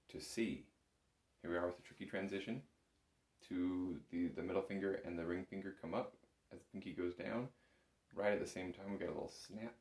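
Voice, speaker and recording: A man giving a patient, careful step-by-step music lesson as an instructor, recorded in an echoey room. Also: sits low. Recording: roomy, echoing room sound.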